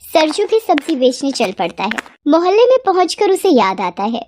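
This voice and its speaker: A woman's voice: high-pitched, very animated, normal speed, background noise. A woman narrating a scripted moral story as its storyteller.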